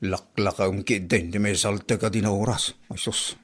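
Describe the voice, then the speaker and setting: A man's voice: lowish voice, fairly even intonation, moderate pace, close to an everyday voice. A man with an Ilocano accent playing a henpecked, sheepish drinking husband in a comedy drama podcast, whiny and exaggerated. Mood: complaining.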